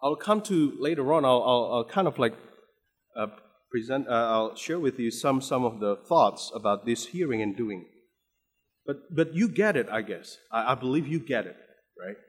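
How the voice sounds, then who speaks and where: Indonesian-accented preacher in a reverberant hall. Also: male voice, medium pitch, lively intonation, moderate pace, slightly rough. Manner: many restarts and repeated words, rising to emphatic stress. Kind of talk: sermon.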